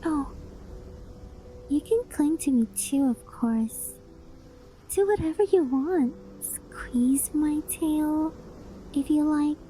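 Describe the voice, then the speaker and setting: A woman's voice: sits high, a little hiss or hum, rough recording. A woman voicing a doting, clingy fox-spirit wife in an intimate ASMR roleplay, coy and hesitant, often slipping into whispers. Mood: adoring. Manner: coy, hesitant, affectionate, softly breathy with whispered phrases. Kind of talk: ASMR roleplay, intimate sleep-aid monologue.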